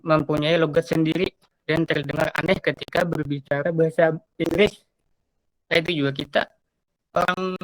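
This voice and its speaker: Flat-toned young man. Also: on the high side, low-quality recording. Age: young adult. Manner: earnest, halting with fillers. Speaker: student debater. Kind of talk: classroom debate.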